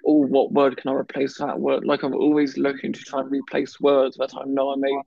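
Guy who stammers a lot, gravelly and flat, dry kinda dull recording. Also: medium pitch, fairly quick, no room sound. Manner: stammers, blocks on some words, many restarts. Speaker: guest talking about his stammer. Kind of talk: casual chat.

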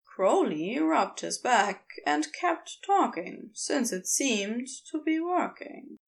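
A woman's voice: high voice, very animated, slow pace, gravelly. A woman narrating scripted fiction as a storyteller.

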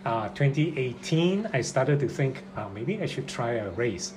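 Brief: man in his fifties from Singapore, podcast guest, hesitant and full of fillers, mid-pitched, over clear background noise. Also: very animated. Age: 50s. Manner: halting, with frequent "uh" fillers. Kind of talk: casual interview answers. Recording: noisy room recording.

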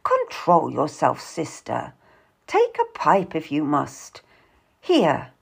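A woman's voice: a little high, wildly swooping pitch, measured pace, slightly rough, pitched above the performer's own voice, heavily acted. Woman acting a cool, bored genteel lady, lilting. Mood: bored, commanding. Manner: cool, unconvinced. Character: bored, sceptical, composed sister, a genteel lady.

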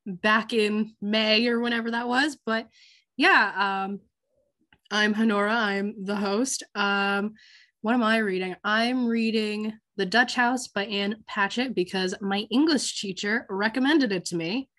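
A teenage girl hosts a book podcast in a loose, chatty way, with plenty of ums and likes. Her voice is slightly hoarse from a cold. She talks at an ordinary pitch. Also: steady tone, a little slow, fairly bright tone. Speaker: podcast host. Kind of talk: casual book chat.

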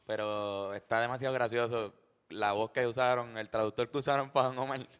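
A man's voice: mid-range voice, fairly even intonation, brisk, fairly bright tone, clean tone. A man co-hosting a casual chat, laughing often and swearing casually. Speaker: podcast co-host.

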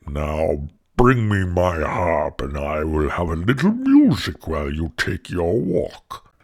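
A man voicing a huge, greedy storybook giant who commands in a booming, drawn-out roar. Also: wildly swooping pitch, full character voice. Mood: gruff command.

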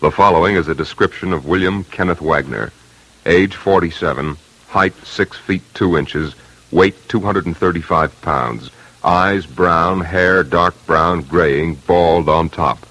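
A man's voice: sits low, a little slow, fairly bright tone, rough recording. A man reading an official wanted bulletin in clipped phrases on an old radio broadcast.

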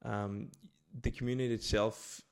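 A man's voice: lowish voice, level tone, normal speed, vocal fry, clear, resonant tone. A man hosting a podcast, interviewing conversationally and circling his way hesitantly into his questions. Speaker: podcast host.

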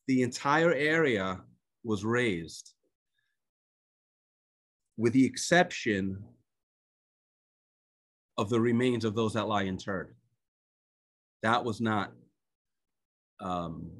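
A man whose first language is Hebrew tells a personal story as an invited speaker. His speech is halting, full of fillers and restarts. It comes through a video-call connection. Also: medium pitch, fairly bright tone. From United States. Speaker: author and guest speaker.

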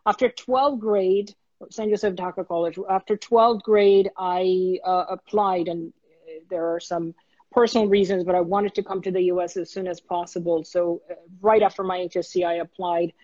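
A man's voice: high voice, moderate pace, no echo. Man telling his story, dull dry sound. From Bangladesh. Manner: earnest, steady storytelling with many small restarts. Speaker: guest.

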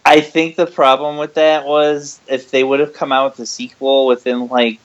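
A man's voice: medium pitch, level tone, moderate pace, highs cut off. A young man co-hosting a fan podcast, chatting casually and teasing himself with dry jokes. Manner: joking, self-deprecating. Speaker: podcast host.

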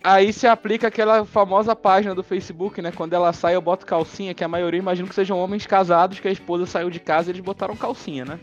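Brazilian guy, high voice, talks fast, jokey podcast banter, bit of music behind. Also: flat delivery, dull audio. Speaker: podcast panellist. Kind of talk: casual, joking banter.

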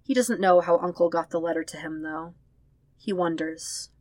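A woman reading a story as a scripted narration, expressive and leaning on the emotional words. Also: on the low side, normal speed. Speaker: fan fiction podfic reader.

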